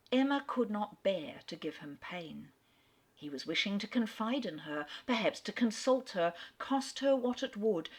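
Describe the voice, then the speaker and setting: A woman with an Australian accent giving a literary talk and reading a novel aloud with measured, expressive storytelling. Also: on the low side, breathy edge. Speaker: literary speaker. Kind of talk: lecture ending in a dramatic reading.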